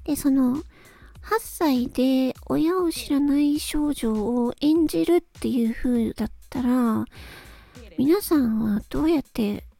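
A woman with a fairly high, soft-toned voice talks to her listeners in an unhurried, reflective monologue. She is polite and gentle, often hesitating as she thinks things through. Music plays behind her. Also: vocal fry. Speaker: solo host, amateur voice actress. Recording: close dry recording with background music. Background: background music.

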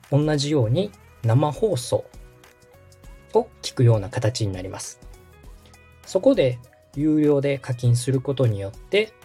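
A man in his thirties from Osaka hosts a podcast, walking his guest through the basics politely and patiently. His voice is mellow and slightly husky, with a lively rise and fall in pitch. Faint music plays behind him. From Osaka, Japan. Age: 30s. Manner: polite, patient, step-by-step explaining. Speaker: podcast host, radio director. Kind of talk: explanatory podcast talk.

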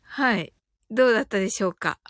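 A woman's voice: mid-range voice, lively intonation, fast, a bit bright, no echo, clean studio sound. A woman hosting a livestream, talking to listeners and leading mindfulness. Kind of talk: casual livestream chat.

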